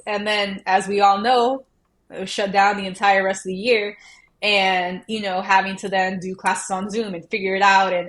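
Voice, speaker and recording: A woman from Colombia, an educator and coach, talking casually in an interview, animated and laughing often, with lots of "like" fillers. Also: mid-range voice.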